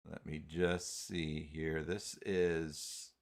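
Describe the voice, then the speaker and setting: A man hosting an unscripted live software tutorial, talking haltingly as he thinks aloud while working. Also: very deep voice, flat delivery. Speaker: host of live tutorial stream.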